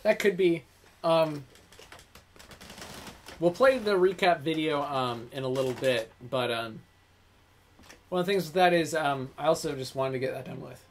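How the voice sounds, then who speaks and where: A man's voice: a little high, animated, moderate pace, long pauses. A young man co-hosting a livestream, talking candidly and hesitantly with many ums while gaming. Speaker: livestream co-host and voice actor. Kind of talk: casual chat while playing a game.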